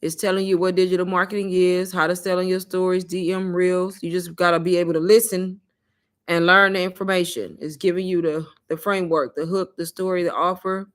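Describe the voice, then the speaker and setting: A woman hosting a casual livestream, pitching an online course and taking viewers' questions in a chatty, repetitive way while calling her viewers "y'all.". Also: flat delivery, brisk. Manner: chatty, repeats herself, addresses viewers as "y'all".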